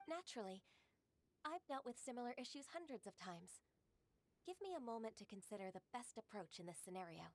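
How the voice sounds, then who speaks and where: An actress voicing a calm, composed young secretary in a video game, speaking gently, politely and with assurance. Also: female voice, fairly high pitch, a little fast.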